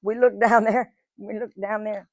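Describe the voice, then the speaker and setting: A woman's voice: mid-range voice, steady tone, moderate pace, background noise. A woman in her nineties telling folksy stories of her life to a live audience, chatting and acting out what people said. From Georgia, USA. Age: 90s. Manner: folksy, chatty storyteller who acts out quoted dialogue. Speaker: guest speaker. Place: museum event room.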